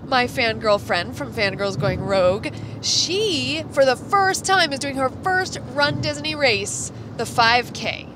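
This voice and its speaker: A woman's voice: high voice, expressive, slow, few pauses. A woman co-hosting a podcast in casual, enthusiastic chat, recorded inside a car as she drives. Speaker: podcast co-host. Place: inside a moving car, while driving. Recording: clear car road noise behind voice. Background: vehicle and road noise.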